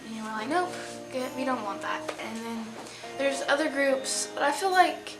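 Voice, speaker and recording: A teenage girl, a student actor, talking informally and haltingly in an interview. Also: sits high, expressive. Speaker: high school student actor. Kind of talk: casual interview reflection. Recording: echoey room, loud music over it.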